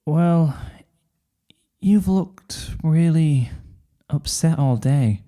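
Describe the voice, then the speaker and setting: A man voicing a shy, timid, soft-spoken cartoon girl who stammers hesitantly in a story reading. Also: fairly high pitch, very slow. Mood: hesitant concern. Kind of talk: fan fiction story reading.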